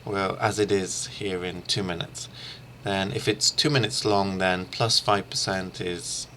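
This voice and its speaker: A middle-aged man giving an informal instructional screencast as a language teacher, haltingly thinking aloud. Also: sits low, steady tone, sharp tone, slight background noise. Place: small room lined with shelves, indoors.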